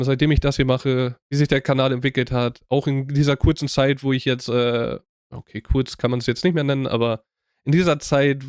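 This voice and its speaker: Smooth-voiced young man chatting casually. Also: mid-range voice, dry recording, studio quality. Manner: rambling, many fillers and restarts, casual slang. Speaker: YouTube reaction channel host. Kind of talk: casual talk to viewers.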